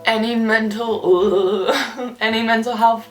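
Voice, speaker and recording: A woman's voice: on the high side, steady tone, slow pace, recorded from a distance, faint music behind. A young woman of about twenty, slightly stuffy from a cold, chatting candidly to camera with lots of filler words while she does her makeup. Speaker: vlogger. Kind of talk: casual chat to camera. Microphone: camera some distance away.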